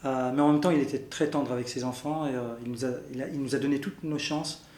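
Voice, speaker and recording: A man's voice: medium pitch, steady tone, a little mellow. Thoughtful man, echoey room. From Belgium. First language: Arabic. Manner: thoughtful, with many "euh" pauses and listing. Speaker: interviewee. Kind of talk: reflective personal testimony.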